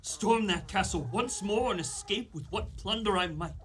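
A woman's voice: mid-range voice, normal speed, natural voice. A woman playing a grandiose, cowardly young man in a radio play, with florid, theatrical speech. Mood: gallant, resigned. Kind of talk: live radio play acting. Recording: live studio recording, noisy ambience.

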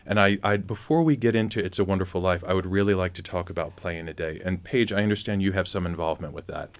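A man hosting a conversational interview, asking measured, inquisitive questions as he goes. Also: deep voice, dry recording.

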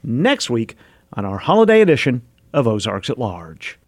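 A man's voice: medium pitch, wildly swooping pitch, normal speed, dry recording. A man hosting a public radio magazine show, speaking close to a studio mic.

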